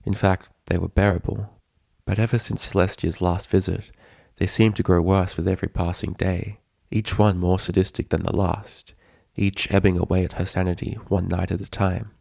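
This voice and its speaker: A man reading a scripted story as narrator, in a measured, dramatic storytelling manner. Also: sits low, flat delivery, gravelly, darkish tone, no echo, studio quality.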